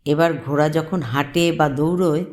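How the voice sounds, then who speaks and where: A woman's voice: low-pitched, fairly even intonation, moderate pace, darkish tone, slight background noise. A woman telling a story to children, explaining simply and putting questions to her young listeners.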